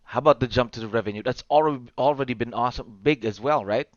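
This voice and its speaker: A man's voice: medium pitch, quick pace, no echo, muffled recording. A man hosting a podcast interview, upbeat and encouraging, often repeating his phrases. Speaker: podcast host. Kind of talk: interview questions.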